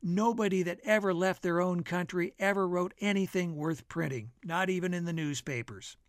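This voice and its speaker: A man's voice: high-pitched, moderate pace, no echo, clean studio sound, lightly acted. A man voicing a brash, teasing American man from a novel, emphatic and needling in his delivery. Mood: mock-scolding. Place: dry radio studio.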